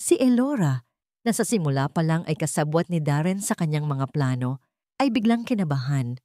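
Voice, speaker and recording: A woman's voice: low-pitched, big pitch swings, moderate pace, dark tone, no echo, studio quality. A woman narrating a scripted dramatic romance story as an audio storyteller.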